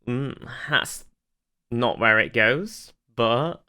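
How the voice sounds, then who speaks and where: Casual podcast co-host, mid-pitched and bright, clean tone, enthusiastic fan energy, loose sweary delivery. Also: very slow, clear, resonant tone. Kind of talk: casual chat, recounting TV episodes.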